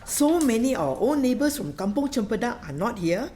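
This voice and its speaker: Lively, high-voiced Singaporean housewife, acted by a woman. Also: moderate pace, a little hiss or hum. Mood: welcoming. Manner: lively, chiding, Singlish particles. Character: frugal, hospitable kampong housewife and mother hosting dinner. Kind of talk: radio play acting.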